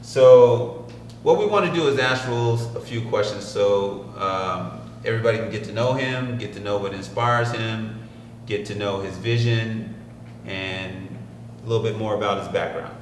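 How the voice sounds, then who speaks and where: A man's voice: fairly low pitch, moderate pace, reverberant, recorded from a distance, slight background noise. A man co-hosting a podcast, friendly and asking questions, sometimes repeating his words. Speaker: podcast co-host. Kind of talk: casual interview hosting.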